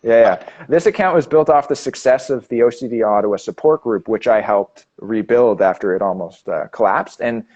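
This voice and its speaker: A man's voice: medium pitch, darkish tone, muffled recording. A man from Canada hosting a live chat, talking casually with frequent restarts. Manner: halting, many restarts and fillers. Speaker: host.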